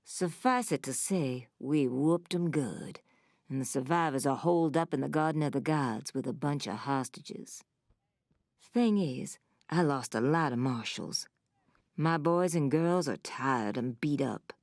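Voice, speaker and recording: A woman's voice: a bass voice, a little slow, a little mellow, dry recording, studio quality. An actress voicing a tough, commanding woman sheriff in a video game, blunt and folksy, with a drawl. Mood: brisk, weary.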